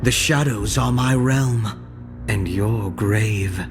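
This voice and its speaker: An actor voicing a cold, arrogant young male shadow assassin in a game, menacing and measured. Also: fairly low pitch, music in the background. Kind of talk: game voice lines.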